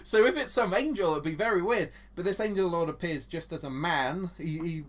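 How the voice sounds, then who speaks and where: A man's voice: high voice, a little fast. An enthusiastic man with an English accent, chatting about church history as a co-host, with lots of restarts. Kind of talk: casual Bible teaching chat.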